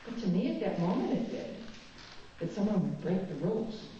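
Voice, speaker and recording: A woman's voice: mid-range voice, sing-song, brisk, mellow, distant mic, a little hiss or hum. A woman from New Zealand, a guest speaker at a spiritualist chapel, giving a spiritual talk with candid, self-mocking personal stories. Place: chapel hall. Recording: echoey dull hall recording from afar.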